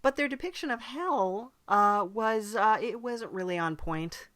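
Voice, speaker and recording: A woman's voice: fairly high pitch, animated, unhurried, fairly bright tone, no echo, clean studio sound. A woman hosting a casual comedy podcast interview, chatty, agreeable and a little hesitant. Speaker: podcast host.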